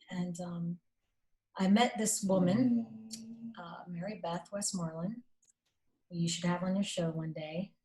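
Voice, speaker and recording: A middle-aged woman, an executive telling stories in an interview, animated and full of fillers. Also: mid-range voice, rough recording. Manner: animated, acts out quoted dialogue, many fillers; self-described strong voice, not shy. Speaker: interview guest, company executive. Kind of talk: casual conversational storytelling.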